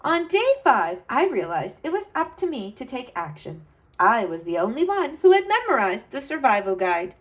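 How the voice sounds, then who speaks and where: A woman's voice: on the high side, big pitch swings, normal speed. A woman reading a storybook as an earnest, adventurous young boy who tells his own tale dramatically. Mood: grave, dramatic.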